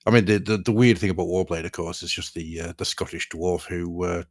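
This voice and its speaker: A man's voice: low-pitched, level tone, fairly quick. A man in his forties hosting a casual podcast chat, rambling, sweary and wryly self-aware. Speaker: podcast host.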